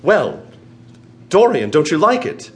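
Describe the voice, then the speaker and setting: An actor playing a gentle, devoted painter in a radio drama, earnest and reproachful. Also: male voice, fairly high pitch, wildly swooping pitch, takes it slowly, soft background music, full character voice.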